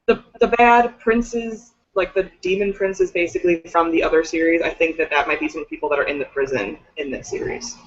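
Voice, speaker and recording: A woman's voice: on the low side, fairly even intonation, brisk, few pauses. A woman co-hosting a book livestream in casual chat, peppering her talk with "like" and putting questions to the others. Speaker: co-host of a book discussion livestream. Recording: dull, lo-fi livestream audio.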